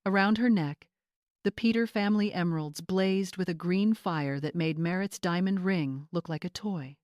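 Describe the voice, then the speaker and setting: A woman with a slightly low voice narrates dramatic fiction. Her delivery is measured and suspenseful, and the recording is dry and close. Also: a little mellow, no echo. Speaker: story narrator.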